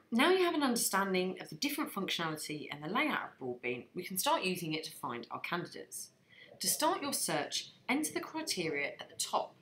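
A woman narrating a scripted software training tutorial in an instructional manner, explaining things step by step. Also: on the low side, slight background noise, poor audio.

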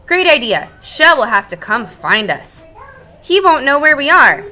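A woman voicing a giddy crowd of runaway eggs in a storybook, squealing and excited. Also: sits high, a little slow, pitched above the performer's own voice, heavily acted.

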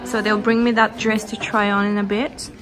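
A woman's voice: mid-range voice, little pitch movement, normal speed, a crowd in the background. A young woman chatting casually and enthusiastically to camera as she vlogs a shopping trip. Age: young adult. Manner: enthusiastic, gushing. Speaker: fashion vlogger. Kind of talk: casual shopping vlog chat. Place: inside a large department store and boutiques. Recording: busy store background, music playing.

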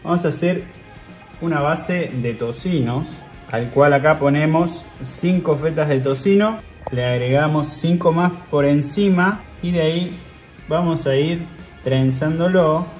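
A man in his thirties with an Argentine accent, hosting a cooking show and calmly talking viewers through each step as he works. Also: fairly high pitch, unhurried, faint music behind. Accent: Argentine Spanish. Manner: talks viewers through steps while working with his hands. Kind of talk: casual cooking demonstration. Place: outdoor grill patio.

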